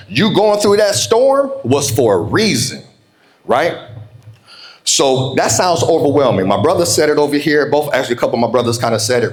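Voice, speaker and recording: An American man giving a motivational keynote, emphatic and folksy, slowing down to repeat key phrases. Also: mid-range voice. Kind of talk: motivational keynote speech with call-and-response. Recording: roomy, echoing live speech.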